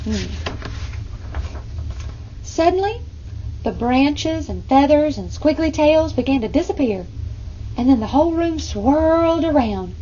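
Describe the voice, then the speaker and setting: A woman with a high voice reads a children's story aloud. She is expressive and often turns to her listeners with asides. The recording sounds muffled, with clear noise in the background. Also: measured pace. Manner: engaging, asks listeners questions.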